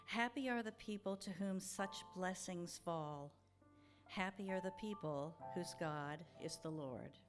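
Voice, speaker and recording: A woman speaking as the platform host of a church service, giving an informal welcome and devotional reading, chatty at times and pausing deliberately when she reads. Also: on the low side, music in the background. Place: church sanctuary.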